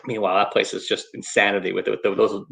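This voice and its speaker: A man's voice: lowish voice, flat delivery, fast talker, gravelly, pushed and bright. A man with a New York accent, co-hosting a casual comedic chat and telling wry stories. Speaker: podcast co-host.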